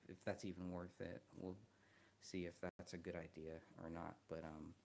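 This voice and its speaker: A soft-spoken man chatting casually on a live stream, hesitant, with many ums and restarts, close to the mic. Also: low-pitched, flat delivery, normal speed, vocal fry, a little hiss or hum.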